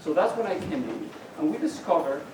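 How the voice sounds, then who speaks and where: A man's voice: fairly high pitch, expressive, brisk, background noise. A middle-aged man whose first language is Spanish, giving a university lecture in an explanatory way with frequent restarts. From Mexico. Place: lecture hall. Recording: very echoey hall, distant mic.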